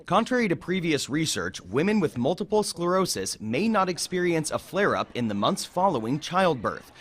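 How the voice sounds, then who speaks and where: A man's voice: a little high, big pitch swings, normal speed. An American man reading a scripted news report as a correspondent.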